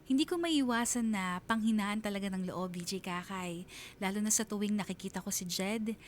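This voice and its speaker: An actress with a Filipino accent voicing a reflective single mother who narrates her love story in a radio drama, measured and wistful. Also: mid-range voice, slight background noise, natural voice.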